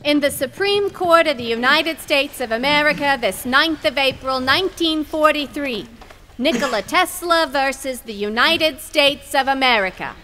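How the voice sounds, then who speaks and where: A woman's voice: sits high, normal speed, pushed and bright, a little hiss or hum. A woman acting as a ceremonial court crier in an audio drama, proclaiming formally to a courtroom. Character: loud, ceremonial court crier calling session to order.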